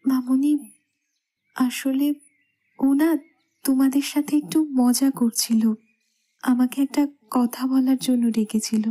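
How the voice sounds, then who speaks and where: High, slow-spoken coy young bride, played by an actress. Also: female voice, flat delivery. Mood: bashful. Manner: coy, hesitant, softly pouting. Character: shy, anxious young bride, gently protesting and pouting. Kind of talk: romantic audio story acting.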